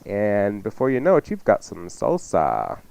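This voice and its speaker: A man's voice: on the low side, wildly swooping pitch, unhurried, vocal fry, a little mellow, dry recording. A man working as a radio chef, giving recipes in a casual, folksy, joking way. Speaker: chef and show regular. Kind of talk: casual chatty recipe instruction.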